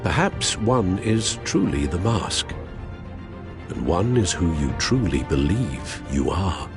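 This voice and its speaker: Low-voiced, formal older butler. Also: male voice, sing-song, full character voice. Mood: measured, advising. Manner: measured, formal, earnest. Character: older loyal gentleman butler, earnest and fatherly. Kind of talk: video game voice acting. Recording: music behind the voice.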